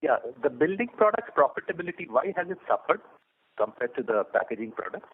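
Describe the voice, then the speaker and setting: A man with an Indian accent, an investor calling in with questions in a halting, informal way. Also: on the high side, big pitch swings, slightly rough. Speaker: caller, investor asking questions. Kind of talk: probing questions on a conference call. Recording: thin narrow telephone line.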